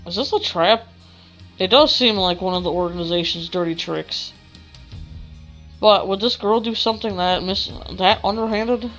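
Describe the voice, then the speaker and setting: A young woman reads a visual novel aloud as she plays. Her pitch is average for a woman and her reading is expressive with small stumbles, over game music. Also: normal speed, poor audio. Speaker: gamer, let's player. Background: video game music.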